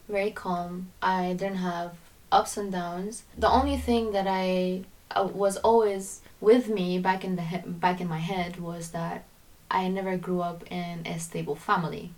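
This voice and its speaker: A young woman from Syria speaks candidly and reflectively as a podcast guest. She sits a little back from the microphone, so her lowish voice sounds slightly distant. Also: darkish tone. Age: young adult. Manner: candid, reflective, restarts sentences. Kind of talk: personal storytelling interview.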